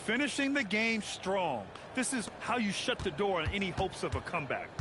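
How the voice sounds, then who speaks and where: A man's voice: sits high, animated, moderate pace, noisy background. A man playing a confident, approving basketball colour commentator in a video game. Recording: loud music over arena game sounds.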